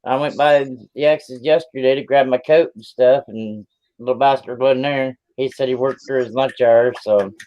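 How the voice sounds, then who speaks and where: A man's voice: mid-range voice, steady tone, moderate pace, a little mellow, lo-fi sound. A man in his fifties hosting a live stream, chatting casually in a rambling, plain-spoken way. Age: 50s. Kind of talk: casual chat.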